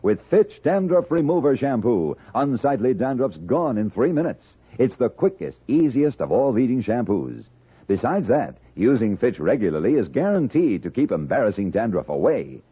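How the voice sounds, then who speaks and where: A man's voice: mid-range voice, very animated, moderate pace, slightly rough, no echo. An American man reading a vintage radio advertisement as an emphatic, salesmanlike announcer. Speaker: commercial announcer. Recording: dry, tinny vintage radio recording.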